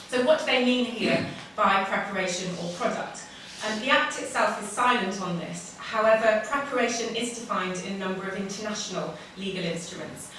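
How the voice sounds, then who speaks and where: A woman with an English accent giving an academic conference lecture, measured and full of ums, recorded from a distance. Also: medium pitch. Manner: measured, frequent ums, slightly self-deprecating. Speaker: conference speaker. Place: lecture hall. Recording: echoey hall recorded from far off, noisy.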